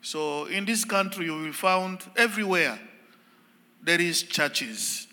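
Slow, careful Congolese archbishop, bright tone. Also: male voice, sits high. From Democratic Republic of the Congo. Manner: slow, halting non-native English with pauses. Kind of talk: guest church talk. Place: church sanctuary. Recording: long church reverb.

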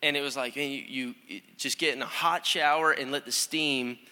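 A young man, a student pastor, preaching a conversational, energetic sermon with frequent restarts, recorded in a church sanctuary. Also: medium pitch, normal speed, clean tone. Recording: long hall reverb.

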